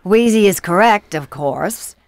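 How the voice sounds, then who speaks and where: An actress playing a businesslike, easily exasperated coroner investigator in a radio mystery, with a matter-of-fact delivery. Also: female voice, medium pitch, expressive, unhurried, no echo, clean studio sound.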